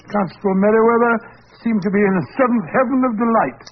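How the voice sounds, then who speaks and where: A man plays a bumbling English gentleman doctor, exclaiming and faltering in a high-pitched voice. He is the loyal sidekick of an old radio mystery, heard through a dull, noisy vintage recording. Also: fast, close to an everyday voice. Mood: jovial. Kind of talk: 1940s radio drama acting.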